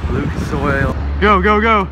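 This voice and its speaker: A young man chatting casually through a travel vlog, filming himself on a phone at arm's length, excitable and saying "literally" a lot. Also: on the high side, a little slow, background noise. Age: young adult. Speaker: vlogger. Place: car, street and home, phone held.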